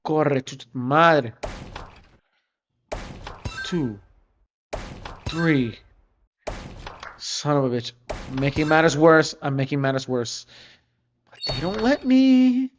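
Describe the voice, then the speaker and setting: A man's voice: a little high, lively intonation, slow pace, long pauses, a little hiss or hum. A male streamer muttering and repeating phrases as he reacts to a game. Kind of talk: casual livestream gaming commentary. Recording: music playing loudly behind voice. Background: loud music.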